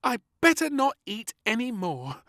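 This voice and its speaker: A man voicing an excitable, bossy little girl princess in a children's storybook reading. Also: extremely high pitch, measured pace, dry recording, clean studio sound, pitched above the performer's own voice, full character voice. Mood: worried.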